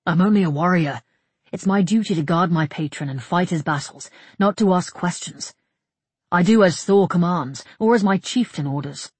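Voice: mid-range voice, normal speed, husky, no room sound, natural voice. Storybook warrior, male voiced by a narrator: blunt, wary, dutiful and defensive, in a dry recording. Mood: defensive, proud. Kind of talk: audiobook character dialogue.

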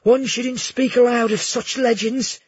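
A man voicing a giddy, fawning old male tavern keeper with a husky voice, in a storybook reading. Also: extremely high pitch, fairly even intonation, measured pace, no room sound, dull audio, voice pushed up, full character voice. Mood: nervous. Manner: effusive, gushing, exclamatory.

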